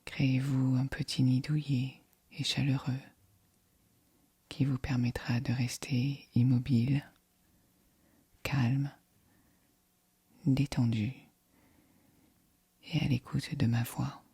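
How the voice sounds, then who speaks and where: A woman guiding a yoga nidra meditation in a soft, breathy, whispery voice, calm and soothing. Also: extremely low pitch, no room sound. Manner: calm, soothing, measured delivery. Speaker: meditation guide.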